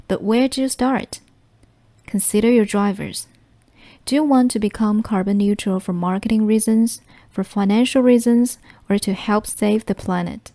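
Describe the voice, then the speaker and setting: Mellow woman reading prepared passages. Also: medium pitch. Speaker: narrator. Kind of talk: scripted read-aloud of short exam passages.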